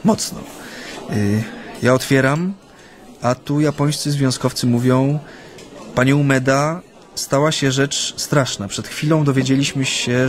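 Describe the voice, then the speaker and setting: Aktor jako refleksyjny, wahający się japoński działacz na wygnaniu. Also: male voice, mid-range voice, close to an everyday voice. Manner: hesitant, reflective, pausing with hums. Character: thoughtful exiled Japanese Solidarity activist, proud, homesick. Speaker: actor in radio play. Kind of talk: naturalistic radio drama, reminiscing in interview.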